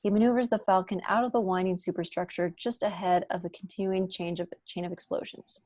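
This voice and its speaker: Lowish-voiced woman narrating a screenplay. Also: fairly even intonation, a little fast, darkish tone, no echo, clean studio sound. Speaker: narrator reading stage directions. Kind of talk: scripted narration at a table read.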